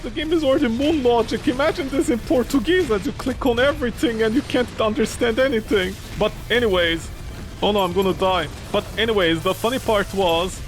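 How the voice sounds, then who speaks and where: A non-native English-speaking man rambles through a game stream, swearing freely and swinging between loud outbursts and mumbled asides. His voice sits high for a man. Loud game music plays under his talk. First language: not English; grew up with French, Italian. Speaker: gaming streamer. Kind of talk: casual let's-play commentary.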